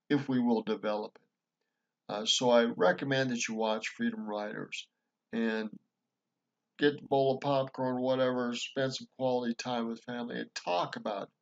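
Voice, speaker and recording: A man's voice: medium pitch, steady tone, moderate pace, highs cut off. A man from Montana giving casual, earnest commentary on a film, rambling with many fillers and restarts. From Montana, USA. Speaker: vlogger reviewing a film.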